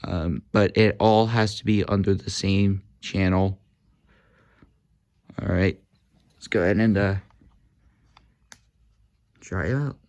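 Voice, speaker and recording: A man talking casually through a hands-on demo, halting often with uhs and ums while he handles the gear. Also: sits low, fairly even intonation, darkish tone. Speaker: hobby video host demonstrating walkie-talkies.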